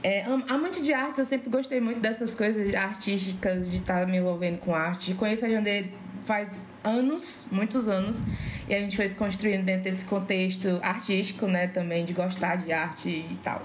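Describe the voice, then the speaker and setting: A woman's voice: mid-range voice, fairly even intonation, moderate pace. A woman with a Cearense Brazilian accent chatting casually and enthusiastically as a podcast guest. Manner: gushing, enthusiastic. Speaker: podcast guest, slam poet. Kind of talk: casual chat. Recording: roomy echo, faint background noise.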